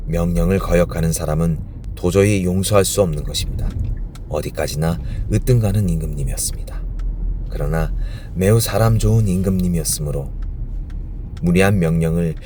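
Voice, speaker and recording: A man's voice: low-pitched, a little fast, mellow, a little hiss or hum. A man reading a storybook as an audiobook narrator, in a bedtime narration style meant for sleep.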